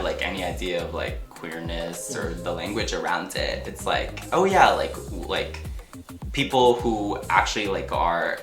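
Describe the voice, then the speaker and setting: An actor and artist speaking casually and haltingly in an interview, telling personal stories with frequent filler words. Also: sits low, fairly bright tone. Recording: echoey room with music underneath. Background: music.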